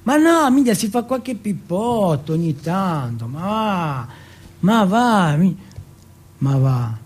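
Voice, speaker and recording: A man voicing an excitable, streetwise teenage boy in a stage monologue, slangy, insistent and full of swearing. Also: high-pitched, slow pace, breathy edge, heavily acted. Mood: indignant. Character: excitable, foul-mouthed streetwise teenage boy from housing projects.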